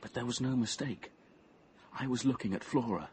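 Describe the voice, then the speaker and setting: An actor playing a brooding, obsessive young man who narrates his confession in measured, intense tones, at times near a whisper. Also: mid-range voice, a little mellow, slight background noise, natural voice. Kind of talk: radio drama first-person narration.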